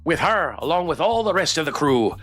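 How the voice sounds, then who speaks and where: A man's voice: fairly high pitch, sing-song, fairly quick, fairly bright tone, soft background music. A man voicing a swaggering, teasing, big-hearted drunk pirate woman from a game, in hearty theatrical pirate dialect. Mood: proud storytelling. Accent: stage pirate.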